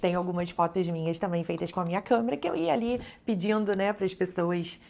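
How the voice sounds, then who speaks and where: A woman's voice: fairly low pitch, expressive, fairly quick, vocal fry, darkish tone. A middle-aged Brazilian woman, a travel vlogger and photographer, chatting casually to her viewers with lots of little fillers. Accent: Brazilian Portuguese. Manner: chatty, frequent "né" and "então" fillers. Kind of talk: casual chat to camera.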